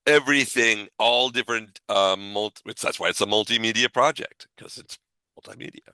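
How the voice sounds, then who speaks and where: A man co-hosting a podcast in casual unscripted chat, halting and reworking his phrases as he goes. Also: fairly low pitch, sharp tone, smooth, no echo. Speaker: podcast co-host.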